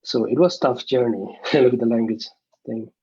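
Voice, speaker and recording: Halting young man. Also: mid-range voice, dark tone, husky, muffled recording. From Nepal. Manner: halting, many fillers and restarts. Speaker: interview guest. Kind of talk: casual interview over a video call.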